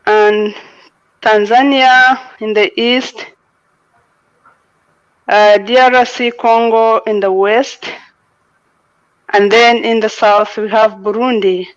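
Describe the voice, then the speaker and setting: A woman's voice: a little high, steady tone, takes it slowly, long pauses, no room sound. A woman from Rwanda, a panel guest chatting casually on a video call with many fillers and restarts. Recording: dull lo-fi call audio.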